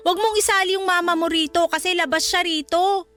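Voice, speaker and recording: A woman's voice: very high voice, steady tone, brisk, a bit bright, faint music behind. An actress playing a nagging, exasperated young wife and mother in a Filipino radio drama, scolding and pleading. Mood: irritated, scolding.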